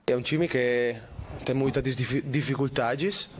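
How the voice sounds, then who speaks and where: Flat, halting coach at a press conference. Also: male voice, mid-range voice, heavy background noise. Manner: halting, searching for words.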